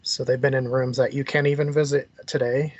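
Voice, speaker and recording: A man's voice: medium pitch, level tone, a little fast, darkish tone. A man from the United States hosting a podcast over a video call, chatting casually. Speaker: podcast host. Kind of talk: casual chat. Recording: slightly dull lo-fi call audio.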